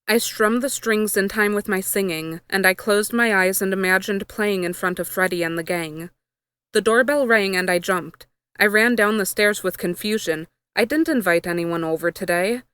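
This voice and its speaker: A woman's voice: medium pitch, moderate pace, a bit bright, smooth, dry recording. A woman narrating a first-person story, reading from a script as she goes. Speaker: fan fiction reader.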